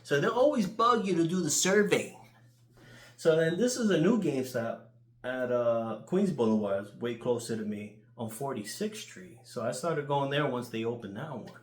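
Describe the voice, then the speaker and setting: Animated New York guy, echoey room. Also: medium pitch, normal speed. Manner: animated, crude, swearing freely. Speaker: YouTuber. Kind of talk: casual rambling storytelling.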